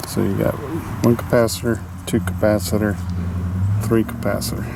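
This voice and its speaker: A man giving a casual, unscripted hands-on repair demonstration, halting as he thinks aloud. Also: lowish voice, level tone. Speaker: hobbyist radio restorer demonstrating a repair. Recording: clearly noisy amateur video sound.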